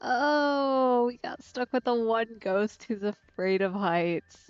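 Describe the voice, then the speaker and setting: A woman's voice: on the high side, lively intonation, takes it slowly, clean tone, no room sound. Wry woman streamer, dry dull mic. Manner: wry, musing. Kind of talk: casual let's play commentary.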